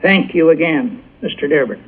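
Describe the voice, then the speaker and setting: A man's voice: on the high side, moderate pace, husky. A man, a company president, giving stiff, formal prepared remarks of thanks. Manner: stiff, formal, read-out speech. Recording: tinny, phone-like old radio broadcast.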